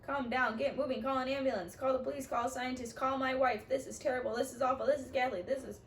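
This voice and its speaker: A frantic middle-aged banker babbles in a high, flustered voice, as a woman puts him on. His panic spills out in a storybook reading, set in a roomy, echoing space. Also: fairly even intonation, fast talker, a little hiss or hum. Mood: panicked. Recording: roomy echo.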